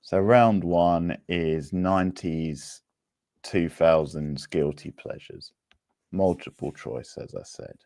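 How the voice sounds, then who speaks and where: A man's voice: deep voice, takes it slowly, creaky, a little mellow, no room sound, clean studio sound. A man hosting a livestream quiz, chatting casually with viewers in a laid-back, conversational way. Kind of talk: casual live chat with viewers.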